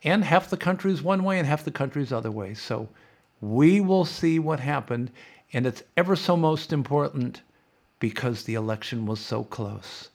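A man's voice: medium pitch, expressive, normal speed, gravelly, darkish tone. A middle-aged man hosting a podcast as a sarcastic, opinionated casual monologue, congested and losing his voice. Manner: sarcastic, mock-chanting opponents. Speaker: podcast host.

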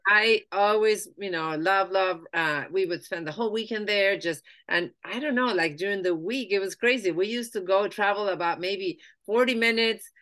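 A woman's voice: mid-range voice, moderate pace, hardly any pauses, fairly bright tone. A woman in her fifties from Argentina, speaking, chatting as a guest about her life story with lots of restarts and fillers. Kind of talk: conversational life-story interview.

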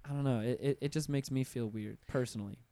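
A man's voice: medium pitch, fast talker, warm tone, dry recording. A man hosting a podcast in a studio, asking questions and rambling, often hedging with "I don't know.". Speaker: podcast host. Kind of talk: casual interview chat.

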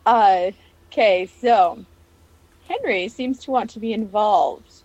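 A woman's voice: medium pitch, takes it slowly, darkish tone, no room sound. A woman co-hosting a casual TV recap podcast, recorded with a dry, somewhat muffled sound. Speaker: podcast co-host. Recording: dry, dull lo-fi microphone sound.

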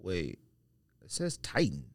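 A man in casual podcast conversation with a very lively, swinging delivery. His wording is informal and slangy, and the sound is dry with little room. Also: sits low, takes it slowly, creaky, no echo. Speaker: podcast host. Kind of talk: casual sneaker chat.

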